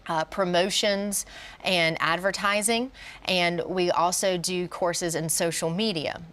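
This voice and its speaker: Female college educator as interview guest, lower voice, encouraging and explanatory. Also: fairly even intonation, a little slow, fairly bright tone.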